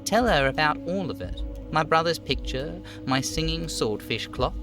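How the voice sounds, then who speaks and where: A man voicing an overweight union boss in a video game, falsely chummy, smooth and theatrically indignant. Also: a little high, animated, moderate pace, music in the background, voice pushed up. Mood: falsely open. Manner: smooth, theatrical, over-familiar.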